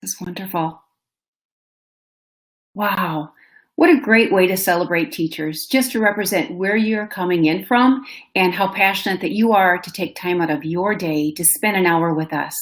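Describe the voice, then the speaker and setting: Woman, Minnesota accent, slightly low voice, effusive webinar host, video call audio. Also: normal speed. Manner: upbeat, effusive, addresses audience directly. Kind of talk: enthusiastic webinar presenting. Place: indoor room, webcam.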